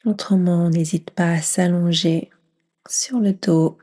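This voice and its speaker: A woman leading a guided yoga nidra meditation in a gentle, soothing near-whisper with long pauses. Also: fairly low pitch, fairly even intonation.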